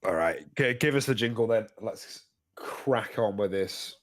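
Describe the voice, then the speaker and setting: A man's voice: on the low side, animated, measured pace, slight background noise. A young man co-hosting a podcast in casual chat, his throat sore and cracking, nose stuffy, laughing often as he rambles. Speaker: podcast co-host.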